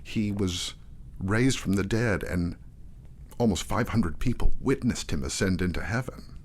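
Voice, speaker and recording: A man talking as a guest in a casual in-depth discussion, often restarting his phrases in a halting way. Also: sits low. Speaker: guest, theatre artistic director and performer.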